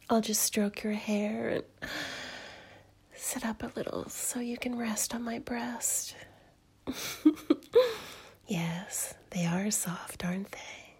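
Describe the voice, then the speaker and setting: A woman playing a breathy, doting mother figure. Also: medium pitch, exaggerated voice. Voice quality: breathy, whispery. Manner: slow, soft and murmuring. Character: doting, possessive mother, middle-aged woman. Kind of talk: intimate adult audio roleplay.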